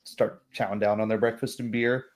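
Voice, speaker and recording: A man's voice: fairly low pitch, level tone, a little fast. A man narrating a tabletop roleplay as game master in a conversational, hesitant way.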